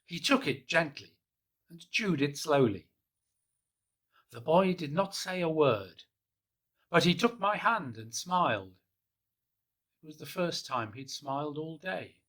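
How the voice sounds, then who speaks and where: A man gently reading a children's picture book aloud in the first person, in a soft, hushed and unhurried way. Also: on the high side, big pitch swings. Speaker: storybook reader.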